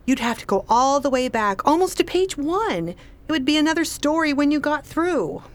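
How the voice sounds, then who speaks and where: A woman's voice: high voice, big pitch swings, moderate pace. A woman playing a dry, practical, anxious pregnant wife in a radio play. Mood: dry, uneasy. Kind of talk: radio drama acting.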